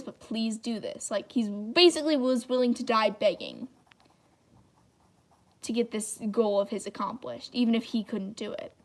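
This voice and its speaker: A young woman talks casually and a little rambly, dropping "like" often. Her voice sits a bit on the high side. She is indoors at a table, picked up by a phone propped in front of her. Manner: chatty, rambling, many "like" fillers. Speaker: live stream host drawing on camera. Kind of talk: casual chat while drawing.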